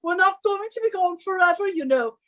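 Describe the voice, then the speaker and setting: A woman's voice: extremely high pitch, lively intonation, brisk, fairly bright tone. A woman playing a bossy, scornful wife who nags and scolds in a suspense radio play. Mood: bossy, impatient. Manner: imperious, scolding, henpecking. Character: bossy, nagging British wife of a professor, scornful.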